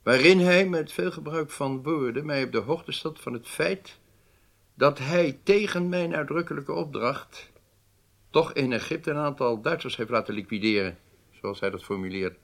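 A man's voice: a little high, moderate pace, creaky. An actor playing a stern male head of government in a radio drama, speaking formally with weighty emphasis. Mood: indignant.

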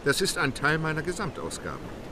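An actor playing an unflappable, resolute gentleman traveller, formal and decisive, in an audio drama. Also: a little high, huge pitch swings, brisk, noisy background. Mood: polite, firm. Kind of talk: German audio drama acting.

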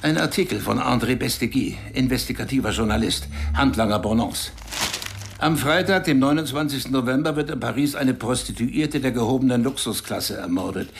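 An actor playing a tough, cynical police chief in a radio crime drama, blunt and quick to curse. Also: male voice, mid-range voice, gravelly, close to an everyday voice. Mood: briefing. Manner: blunt, cursing, gets heated.

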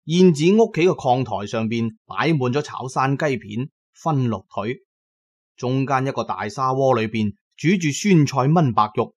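Narrate as a Cantonese man telling his own story on a radio drama, in a medium-pitched voice, shaping it as dramatised storytelling. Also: animated, measured pace, highs cut off.

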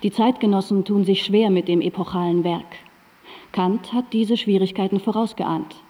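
A woman narrating a radio play as a composed, erudite guide, with measured, articulate and factual delivery. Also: medium pitch, steady tone, mellow, big-room sound, close to an everyday voice.

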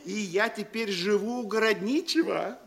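An actor playing a nosy, excitable provincial postmaster in a classic comedy audio play, savoring each phrase theatrically. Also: male voice, high voice, normal speed, vocal fry. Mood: mocking relish.

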